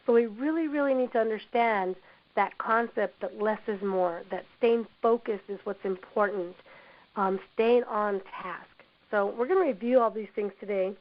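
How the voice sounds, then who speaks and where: Hoarse woman leading a training webinar. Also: mid-range voice, moderate pace. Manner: encouraging, repeats "you know". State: hoarse from a cold. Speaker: webinar presenter. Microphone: computer mic on a webinar call. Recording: dull lo-fi web audio.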